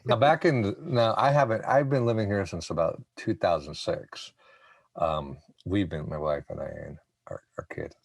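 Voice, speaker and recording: Very deep, creaky-voiced man. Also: normal speed, no echo. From Puerto Rico. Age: 40s. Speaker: podcast guest. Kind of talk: casual conversational storytelling.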